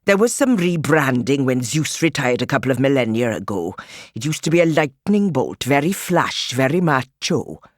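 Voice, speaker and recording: Here is a woman playing a spry, grandfatherly old gentleman from a children's storybook. He speaks with fussy precision and old-fashioned courtesy. His delivery is theatrical and swings widely in pitch, heard close and dry. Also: sing-song, moderate pace, no echo, full character voice. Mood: grand, explanatory. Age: seventies. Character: spry, theatrical, grandfatherly immortal old man, genteel godfather. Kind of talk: children's audiobook character voice.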